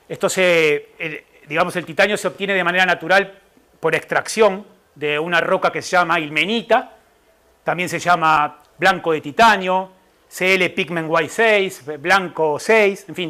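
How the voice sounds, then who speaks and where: A man's voice: high-pitched, fairly bright tone. A middle-aged man from Argentina with an Argentine Spanish accent, a nutritionist giving an informal talk to a live audience, explaining things step by step and checking in with the listeners.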